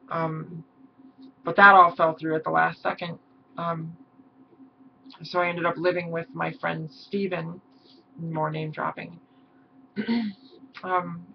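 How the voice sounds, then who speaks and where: A hoarse young woman talking haltingly in a personal vlog, with many pauses and ums. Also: fairly low pitch. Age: young adult. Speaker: vlogger. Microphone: camera mic. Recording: dull lo-fi mic with faint hiss.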